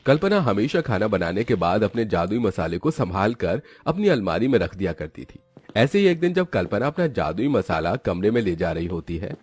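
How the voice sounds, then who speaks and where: A man's voice: on the low side, big pitch swings, moderate pace, darkish tone, husky, no echo. A man narrating a scripted children's moral story as its storyteller.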